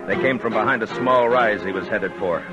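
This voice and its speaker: An American actor playing a steady, terse US Marshal in a 1950s western radio drama, speaking with measured authority. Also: male voice, fairly low pitch, highs cut off, close to an everyday voice. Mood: grim, measured. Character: grim, steady male US Marshal of the old West. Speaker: lead actor and first-person narrator. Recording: band-limited old radio recording, music behind.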